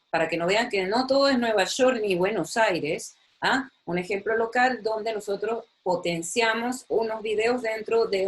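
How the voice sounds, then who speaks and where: Chatty woman lecturing. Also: medium pitch. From Chile. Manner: chatty, enthusiastic, repeats words and uses many fillers. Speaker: university lecturer. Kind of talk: recorded online lecture with screen sharing.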